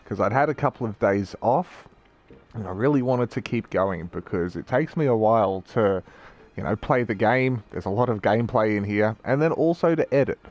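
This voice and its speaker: A young man, croaky from a cold, giving casual rambling commentary as he plays a city-building game. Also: on the low side, normal speed, a little mellow. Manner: chatty, rambling, self-correcting. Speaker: gaming YouTuber.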